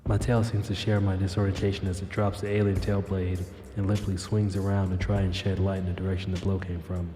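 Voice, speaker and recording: A man's voice: sits low, completely flat delivery, brisk, hardly any pauses, long reverb tail, over music, lightly acted. A man playing a cocky, wry, battered cyberpunk infiltrator in an audio drama, both narrating and speaking in character. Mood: tense narration. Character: cocky wounded tailed infiltrator, wry cyberpunk action hero. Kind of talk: audio drama dialogue with first-person narration.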